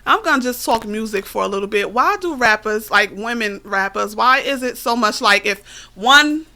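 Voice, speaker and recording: A woman's voice: a little high, animated, moderate pace, pushed and bright. A woman hosting a radio interview, chatting casually and teasing her guests, talking straight to the listeners. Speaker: radio host.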